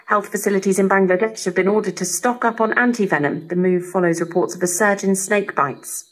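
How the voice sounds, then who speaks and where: A female newsreader delivers a scripted bulletin in a mid-pitched, somewhat husky voice. It is heard as a shortwave radio broadcast. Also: a little fast, rough recording.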